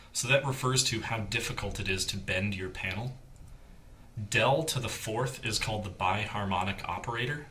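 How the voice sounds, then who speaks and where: A man delivers a technical lecture in a fairly low voice with a bright, forceful tone. He works as an engineering instructor would, explaining each point plainly and in measured steps.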